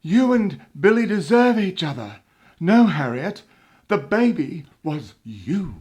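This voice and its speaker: A woman playing a remorseful mother in a melodramatic radio soap, with a creaky voice. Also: fairly low pitch, sing-song, slow pace, darkish tone, full character voice. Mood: solemn confession.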